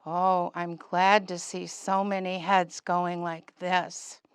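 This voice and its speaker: Woman voicing a friendly, slow-talking boy puppet. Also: fairly low pitch, flat delivery, clean tone, no room sound, pitched below the performer's own voice. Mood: earnest, friendly. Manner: earnest, questioning, simple child-directed phrasing. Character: friendly boy puppet, earnest helper teaching young children. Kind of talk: children's puppet show.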